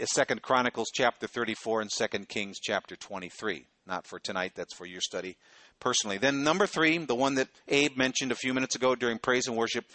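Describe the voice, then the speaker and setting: A man's voice: mid-range voice, pushed and bright, no echo. A middle-aged man preaching a sermon in a conversational way, often checking in with his listeners. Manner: conversational preaching, frequent "okay?" check-ins. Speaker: pastor.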